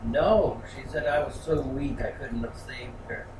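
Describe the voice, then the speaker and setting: A man voicing a grieving young warrior, speaking slowly in a quiet, halting voice, recorded from a distance in a roomy space. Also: mid-range voice, voice pushed down, close to an everyday voice. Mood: shaken. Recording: roomy, distant mic with background noise.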